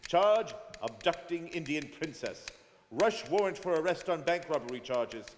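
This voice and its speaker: A slightly noisy recording in a reverberant hall, with a man playing a grave detective narrating a radio play. His voice is fairly high, and he speaks formally, building suspense. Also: lively intonation, normal speed, close to an everyday voice. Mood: brisk dictation. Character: grave, watchful detective recounting a journey. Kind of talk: dramatic scripted radio narration. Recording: reverberant hall with faint noise.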